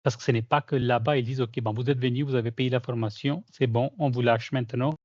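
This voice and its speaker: A man's voice: medium pitch, steady tone, quick pace, darkish tone, no echo. Hesitant man on a muffled call. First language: not French. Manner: hesitant, many fillers and restarts. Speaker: certified former trainee sharing his experience. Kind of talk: casual testimonial in a video call Q&A.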